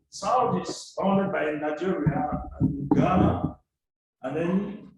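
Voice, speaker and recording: Slow-spoken man lecturing in an echoey hall. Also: high-pitched, far from the mic, noisy background. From Cameroon. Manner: slow, deliberate, formal phrasing. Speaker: seminar speaker. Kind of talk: lecture presentation. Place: seminar hall.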